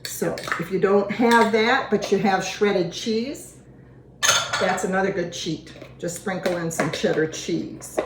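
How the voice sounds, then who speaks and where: Slow, hoarse woman in an echoey kitchen. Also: medium pitch, very noisy. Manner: chatty, homey, talks while working. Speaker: cooking show host. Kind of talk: casual cooking demonstration. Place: home kitchen. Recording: echoey kitchen with clattering dishes. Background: dishes and utensils clinking.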